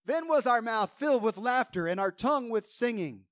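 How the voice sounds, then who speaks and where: Man, pastor, fervent sermon, high voice, slightly hoarse, dry close sound. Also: expressive, moderate pace, no room sound. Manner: fervent preaching, quoting scripture with rising emphasis.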